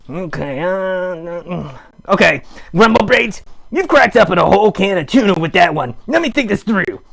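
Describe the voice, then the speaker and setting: Guy doing a chatty goofy puppet, super gravelly n high, improvising silly stuff for live chat. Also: fairly bright tone, full character voice. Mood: musing. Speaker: live puppet show host.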